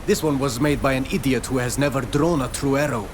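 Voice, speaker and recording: A man's voice: mid-range voice, a little fast, background noise, lightly acted. Blunt, proud islander hunter played by a man. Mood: scornful. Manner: blunt, scornful. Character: proud islander hunter, scornful of outsiders' crude work. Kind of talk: video game character acting.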